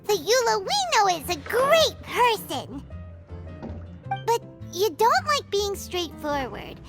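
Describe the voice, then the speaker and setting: Paimon, squeaky tiny chirpy fairy. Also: female voice, sing-song, slow pace, exaggerated voice. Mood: encouraging. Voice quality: very high, squeaky, childlike. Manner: chatty, speaks of self in third person. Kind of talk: animated video game acting. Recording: game music behind the voice.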